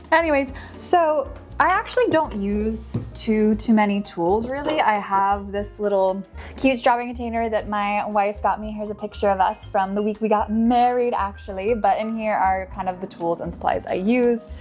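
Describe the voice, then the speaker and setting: Brief: young woman, mid-pitched, hoarse and a bit fried, friendly tutorial chatter, light music underneath. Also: normal speed, darkish tone. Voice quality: upbeat and chatty, often drops to a whisper. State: hoarse with some vocal fry from allergies. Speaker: polymer clay artist and small business owner. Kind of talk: casual tutorial chat. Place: small home studio in an apartment.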